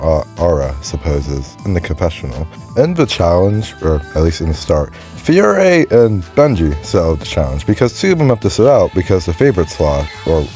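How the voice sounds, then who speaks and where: Low-voiced young man, monotone and hesitant. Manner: hesitant, many fillers. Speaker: cartoon reviewer. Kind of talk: casual voice-over commentary. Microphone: phone.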